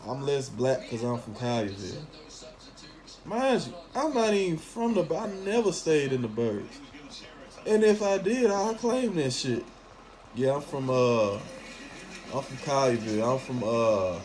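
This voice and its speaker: A man's voice: mid-range voice, sing-song, unhurried, crowd noise, poor audio. A man from Memphis with a Southern accent, giving a casual, opinionated monologue in blunt slang with plenty of swearing. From Memphis, southern United States. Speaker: talk host.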